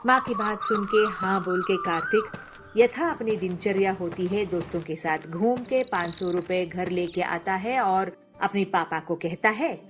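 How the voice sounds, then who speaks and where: A woman narrates a scripted moral tale as a storyteller. Her mid-pitched voice moves up and down with lots of life, over soft background music. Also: animated, measured pace. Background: faint music.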